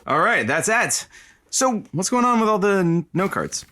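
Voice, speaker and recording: An actor playing an impatient, sardonic radio producer in an audio comedy, with dry sarcasm and brisk exasperation. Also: male voice, high-pitched, very animated, music in the background. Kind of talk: audio comedy drama acting.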